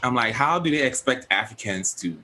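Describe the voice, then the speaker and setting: A man's voice: mid-range voice, brisk. A young man hosting a casual panel chat, telling stories with lots of laughter and mimicking other people. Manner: animated storyteller, laughs often, quotes others in a raised voice. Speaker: host.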